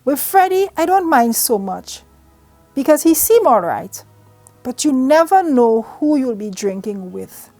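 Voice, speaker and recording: Woman reading as a fretful high-voiced mother. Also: big pitch swings, measured pace, warm tone, no echo, faint music behind, full character voice. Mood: worried, fretting. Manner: emphatic, fretful, dialect speech. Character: worried, scolding Caribbean mother of a teenage son.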